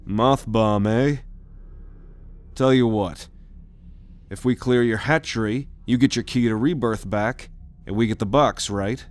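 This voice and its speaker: A man's voice: mid-range voice, a little slow, pauses often, natural voice. A man playing a cocky, commanding alien rogue with a sardonic manner in an ASMR roleplay audio drama. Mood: confident. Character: cocky male alien rogue, bold leader on a mission.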